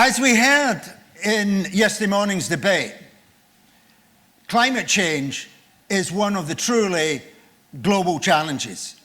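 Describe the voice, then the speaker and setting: An older man with a loud voice, chairing a conference session and formally introducing a guest speaker after a joking opening. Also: high-pitched. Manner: jokey, then reads out a guest's biography. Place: conference room.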